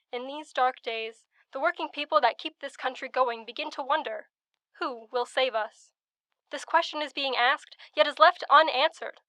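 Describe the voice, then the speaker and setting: High-voiced, solemn radio correspondent played by a woman. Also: bright, forceful tone, no echo. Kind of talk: scripted radio news commentary.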